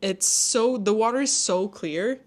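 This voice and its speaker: A young woman co-hosting a casual chat show, upbeat and quick to laugh. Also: medium pitch, darkish tone. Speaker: podcast co-host.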